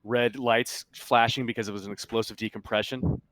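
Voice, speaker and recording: A man running a tabletop game, narrating off the cuff in a halting, conversational, explanatory way. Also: medium pitch, steady tone, fairly bright tone, dry recording, low-quality recording. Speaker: game master.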